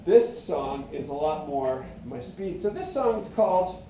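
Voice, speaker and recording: A man's voice: expressive, a little slow, warm tone, soft background music. Reflective man, very echoey room. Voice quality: high tenor voice. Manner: meandering, reflective, many fillers and restarts. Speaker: singer-songwriter. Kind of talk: rambling casual monologue. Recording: very echoey room, mic at a distance.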